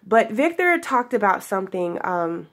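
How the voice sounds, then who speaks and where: A woman's voice: mid-range voice, sing-song, a bit bright. A young woman with a stuffy voice from sinus trouble, giving a spiritual daily message to her viewers in a giggly, affectionate way, drawing out her words. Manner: affectionate, giggly, drawn-out phrases. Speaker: spiritual guidance vlogger. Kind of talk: casual spiritual talk to viewers. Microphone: camera in front of her.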